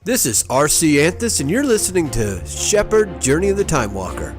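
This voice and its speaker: A man delivers a scripted show announcement as host. His pitch is raised and swings in a lively way, with clearly audible music underneath. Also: normal speed.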